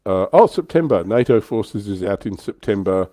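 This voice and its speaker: Deep-voiced man chatting casually. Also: animated, normal speed, dark tone, dry recording, studio quality. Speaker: livestream host. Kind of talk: casual hobby discussion.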